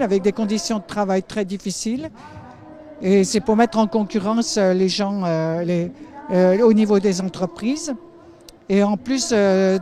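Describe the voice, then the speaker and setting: A woman's voice: mid-range voice, dark tone. A female activist at a protest giving a street interview, hesitant and repeating words. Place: outdoor street rally.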